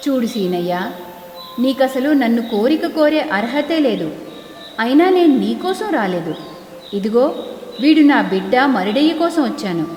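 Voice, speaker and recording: Woman as commanding, expressive forest goddess. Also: on the high side, a little slow, pauses often, echoey room, long reverb tail, faint music behind. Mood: scolding. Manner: commanding, formal, motherly. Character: stern but benevolent motherly forest goddess. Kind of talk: animated moral story acting.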